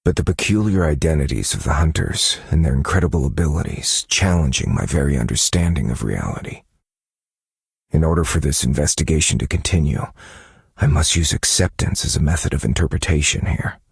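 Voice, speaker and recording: An actor playing a brooding detective who narrates a dark mystery game story in a grave, measured, dramatic manner. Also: male voice, very deep voice, gravelly, slightly rough, no echo, studio quality, heavily acted. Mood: pensive.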